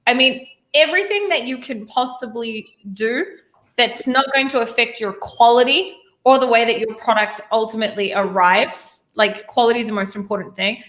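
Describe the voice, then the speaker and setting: A chatty woman from New hosts a casual interview. She rambles and corrects herself as she goes, in a slightly high voice. The room around her sounds echoey. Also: normal speed, a bit bright. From New Zealand. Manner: talkative, rambling, self-correcting. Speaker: host.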